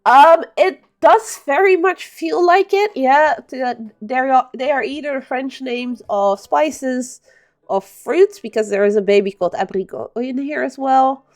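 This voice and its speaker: Woman, game streamer, casual rambling chat, slightly high voice. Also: measured pace. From Belgium, Flemish-speaking part. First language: Dutch (Flemish). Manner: chatty, rambling explanations with fillers. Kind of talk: casual live-stream commentary.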